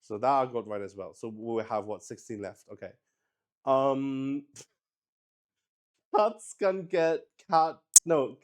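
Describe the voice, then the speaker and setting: Male streamer, mid-range voice, unhurried and rambling, improvised casual chat. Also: mid-range voice. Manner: hesitant, rambling, thinking aloud. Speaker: streamer hosting a solo tabletop roleplay stream.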